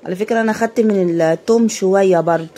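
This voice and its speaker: A woman with an Egyptian Arabic accent chimes in with quick, chatty remarks about the cooking. She talks fast, with the easy flow of someone chatting casually. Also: mid-range voice, dark tone. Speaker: co-host adding commentary. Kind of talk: casual voice-over comments.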